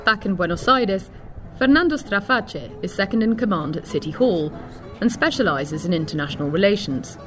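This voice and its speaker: Woman, news reporter, scripted narration, expressive pitch movement, clear music bed behind her. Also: moderate pace.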